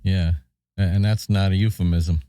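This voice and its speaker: A man's voice: sits low, fairly even intonation, normal speed, warm tone, clean tone, dry recording, studio quality. A man co-hosting a podcast, bantering casually and adding short replies. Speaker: podcast co-host.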